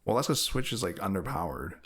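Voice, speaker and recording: Low-voiced man, dry casual rebuttals. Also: big pitch swings, quick pace, a crowd in the background. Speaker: podcast co-host. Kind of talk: casual chat, debating.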